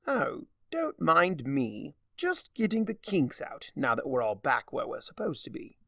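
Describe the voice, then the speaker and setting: A man's voice: on the high side, huge pitch swings, measured pace, gravelly, fairly bright tone, husky, no echo, clean studio sound, pitched above the performer's own voice, full character voice. A man voicing a gleefully mad, theatrical spirit of chaos who lectures in a smug, mock-cheerful way, in a story reading. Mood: breezy.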